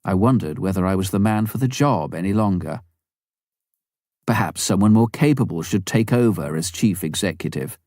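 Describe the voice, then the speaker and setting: A man with a low-pitched voice narrates a memoir as an audiobook reader. His intonation moves up and down in a lively way, and the sound is close and dry, with very little room in it. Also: moderate pace, dry recording.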